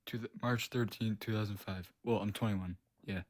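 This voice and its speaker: Quiet, flat-voiced young man calling in. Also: lowish voice, normal speed. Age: 20s. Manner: quiet, hesitant, flat delivery. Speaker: caller. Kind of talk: casual call-in answers.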